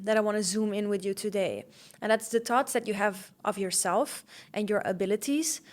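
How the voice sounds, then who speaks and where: A woman's voice: medium pitch, fairly bright tone. A young woman with a slight Dutch accent, hosting her first podcast episode as a candid, slightly nervous solo talk with fillers. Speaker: podcast host.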